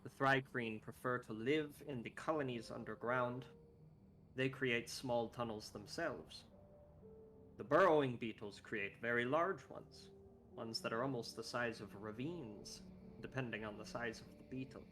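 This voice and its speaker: A man's voice: mid-range voice, measured pace, a bit bright, faint music behind, lightly acted. A man voicing a courteous, scholarly male desert guide in a put-on Icelandic accent, speaking formally and explaining at length.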